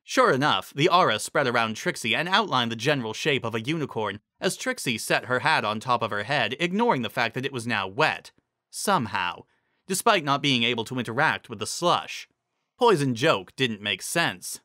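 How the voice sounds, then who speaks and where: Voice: medium pitch, expressive, moderate pace, bright, forceful tone, dry recording, studio quality. A narrator giving a dramatic, scripted reading of a fantasy story.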